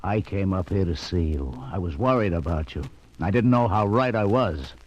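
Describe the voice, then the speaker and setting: Low, gruff police lieutenant. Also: male voice, expressive, normal speed, a little mellow. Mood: gruff, suspicious. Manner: brusque, bossy, interrogating. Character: gruff, suspicious police lieutenant, grown man. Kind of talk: 1940s radio acting. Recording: dull vintage radio recording.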